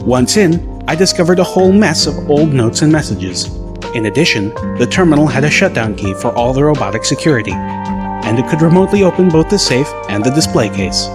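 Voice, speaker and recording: A man's voice: fairly high pitch, very animated, brisk. Male audiobook narrator over music. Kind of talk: scripted first-person story narration. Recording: loud music bed under narration.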